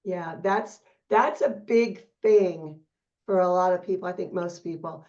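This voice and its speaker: A woman's voice: lowish voice, a little slow, mellow. Affirming woman host, dull lo-fi audio. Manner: affirming, reflective questioner. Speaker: podcast host. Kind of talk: casual interview conversation.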